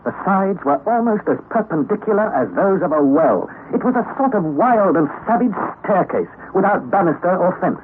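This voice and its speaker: A young nephew telling of an expedition, voiced by a man in an old radio drama. He narrates in a measured way with lively rises and falls. The recording is thin, phone-like and lightly hissy. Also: high voice. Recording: tinny lo-fi old radio recording, faint hiss.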